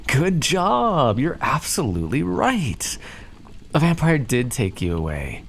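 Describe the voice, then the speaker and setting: A man's voice: fairly high pitch, wildly swooping pitch, takes it slowly, gravelly, slight background noise, heavily acted. A man voicing a smug, playfully menacing vampire captor in an ASMR roleplay, speaking to the listener in a theatrical, condescending and teasing way. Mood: mock cheerful. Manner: condescending, theatrical, teasing drawl with pet names.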